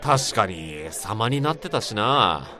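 A man acting in a radio drama as an excitable, sentimental old friend, chatting in an emphatic, colloquial way. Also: fairly low pitch, takes it slowly, a crowd in the background. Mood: prodding. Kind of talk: radio drama dialogue, casual banter.

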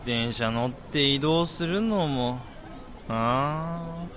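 A man's voice: mid-range voice, drawn-out words, fairly bright tone, slight background noise. A man playing a sociable, teasing senior office worker who leads his group of friends in a radio drama. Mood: grumbling. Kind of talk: Japanese radio drama acting.

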